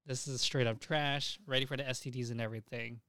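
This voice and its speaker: A young man co-hosting a podcast, chatting casually and crudely about anime and games in an excitable, giggly, sweary way. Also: medium pitch, fast, no room sound, studio quality. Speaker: podcast co-host.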